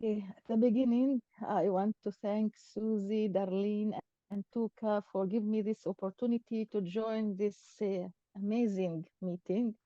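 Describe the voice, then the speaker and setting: A woman from Israel, a native Arabic speaker, giving a measured prepared talk as guest speaker over a video call. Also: medium pitch, steady tone, mellow, no echo, lo-fi sound. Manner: measured, reading from notes, with small grammar slips.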